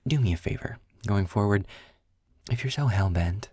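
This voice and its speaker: A man playing a snarky, weary, well-spoken demon guide in an intimate audio roleplay, with a husky, gravelly voice and a dry, wordy manner. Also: lowish voice, a little mellow, no room sound. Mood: exasperated. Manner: sarcastic, wordy, dry, deliberate. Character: snarky, weary, erudite male demon guide trapped in hell.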